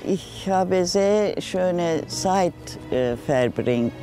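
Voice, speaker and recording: Halting older woman with Turkish accent. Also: lowish voice, expressive, music in the background. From Turkey. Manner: halting, many fillers. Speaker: interviewee. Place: riverside, outdoors.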